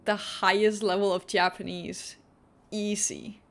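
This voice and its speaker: A woman's voice: medium pitch, little pitch movement, a little slow, fairly bright tone. Woman, native Danish speaker, mid-range voice, casual game-stream chat, hesitant, thoughtful. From Denmark. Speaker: livestreamer. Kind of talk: casual live stream chat while gaming.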